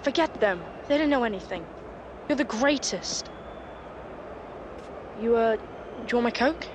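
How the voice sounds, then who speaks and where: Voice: medium pitch, lively intonation, unhurried, background noise, lightly acted. A performer playing a shy, starstruck young child fan in a video game cutscene. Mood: shy, admiring.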